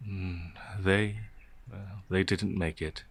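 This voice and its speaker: A man's voice: low-pitched, steady tone, slow pace, gravelly, heavily acted. A man playing a timid, innocent werewolf farmhand in an audio roleplay, speaking in a hesitant, stammering, naively earnest way. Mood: wistful. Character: timid, gentle, innocent male werewolf farmhand, older than he appears. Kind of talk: audio roleplay character acting.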